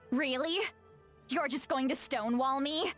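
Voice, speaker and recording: High-pitched, scolding feisty granny. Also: female voice, slow pace, fairly bright tone, soft background music. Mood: scolding, exasperated. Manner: stern, scolding, dramatic. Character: feisty, scolding granny, exasperated, sharp-tongued. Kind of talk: video game voice acting.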